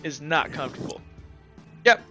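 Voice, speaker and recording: A man running a tabletop roleplaying game as game master, improvising narration in a casual, halting, sweary way. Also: a little high, animated, sharp tone, soft background music.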